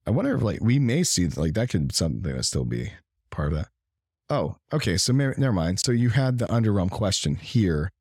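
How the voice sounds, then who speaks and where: A man co-hosting a casual gaming livestream, talking in a halting, rambling way as his voice gives out. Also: on the low side, sing-song, darkish tone, slightly rough, no echo, clean studio sound. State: losing his voice. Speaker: livestream and podcast co-host.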